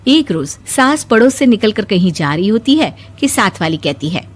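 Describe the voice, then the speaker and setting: A woman's voice: mid-range voice, very animated, normal speed, soft background music. A woman narrating a scripted story as its narrator.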